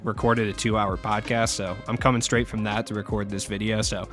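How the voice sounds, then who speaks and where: A man's voice: lowish voice, level tone, fairly quick, a bit bright, soft background music. A young man with a scratchy voice, casually rambling through game market tips as a channel host and often trailing off mid-thought. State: scratchy voice after a long recording session.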